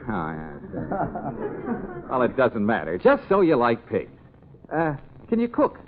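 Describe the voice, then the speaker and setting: Glib breezy fixer in old radio comedy, super animated, tinny hissy recording. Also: male voice, medium pitch, measured pace. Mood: brisk, persuasive. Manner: glib, chuckling, smooth-talking. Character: breezy, fast-talking fixer, helpful and slightly exasperated.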